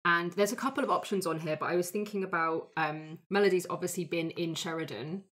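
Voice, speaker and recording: A woman with a fairly low voice talks in a casual group discussion. She sounds like a tabletop player chatting through ideas with the others. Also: fairly quick, fairly bright tone.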